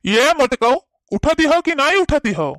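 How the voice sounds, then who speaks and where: A man's voice: very high-pitched, sing-song, measured pace, fairly bright tone, dry recording, clean studio sound, exaggerated voice. A man playing a bossy, impatient village husband in a cartoon comedy, nagging with an Awadhi accent. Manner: nagging, commanding.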